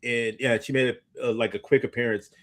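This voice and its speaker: A man co-hosting a podcast, telling stories in a casual, animated, rambling way and often stumbling over repeated starts. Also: medium pitch, brisk. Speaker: podcast co-host. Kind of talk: casual chat, recounting anecdotes.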